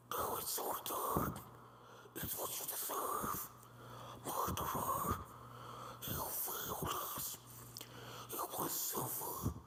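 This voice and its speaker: A storybook reader voicing a cruel, gloating ghost in a slow, menacing, creaky whisper. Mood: accusing, menacing. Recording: echoing voice under loud music.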